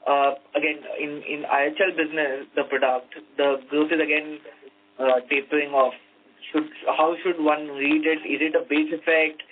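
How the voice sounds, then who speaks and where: A man's voice: a little high, little pitch movement, moderate pace. A man with an Indian accent asks questions as an analyst on an earnings call, polite and often rephrasing as he goes. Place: conference call. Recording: narrow phone line with faint noise and hum.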